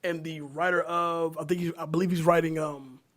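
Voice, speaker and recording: A middle-aged American man chatting casually on a podcast, animated and slangy in his delivery. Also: a little high, a little fast. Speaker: podcast panelist. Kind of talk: casual chat about movies.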